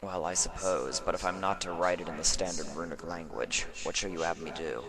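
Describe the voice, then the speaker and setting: A man voicing a doubtful, subordinate ancient unicorn scribe in a story, quiet and questioning. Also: low-pitched, fairly quick, crowd noise, natural voice. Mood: puzzled, doubtful. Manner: hushed, questioning.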